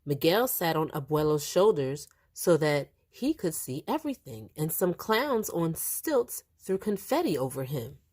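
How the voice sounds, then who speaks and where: A woman reading a picture book aloud to young children in an animated, expressive storytelling style. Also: low-pitched, a little slow, few pauses, husky. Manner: animated, acts out the dialogue.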